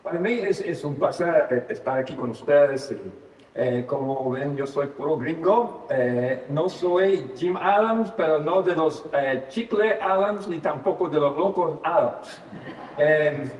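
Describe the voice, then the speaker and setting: A man's voice: fairly high pitch, takes it slowly, darkish tone. An older American man who speaks English as his first language, preaching a sermon, telling a personal story with light self-deprecating humor and frequent hesitations. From United States. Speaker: guest preacher. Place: church sanctuary. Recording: echoey church hall from far off.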